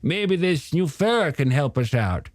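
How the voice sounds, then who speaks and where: A man's voice: a little high, big pitch swings, unhurried, no echo, voice pushed up. A man voicing a gossipy, folksy male small-town shopkeeper in an audiobook, speaking in a hesitant, stammering way. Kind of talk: audiobook character dialogue.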